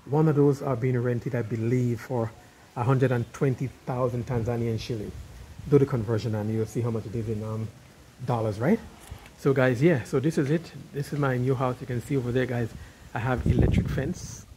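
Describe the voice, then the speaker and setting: A man's voice: medium pitch, steady tone, normal speed, warm tone, slight background noise, poor audio. A man giving a casual walking tour of his house on a handheld phone, chatting to viewers and calling them guys throughout. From Caribbean. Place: house and yard, indoors and out. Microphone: handheld phone camera, filming while walking.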